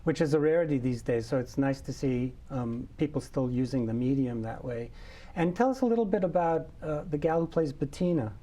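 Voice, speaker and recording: Male host, mellow tone, easy conversational questions, studio chat. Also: medium pitch, a little fast. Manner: warm, conversational questions with hesitations. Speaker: festival director and interview host. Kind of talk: studio interview.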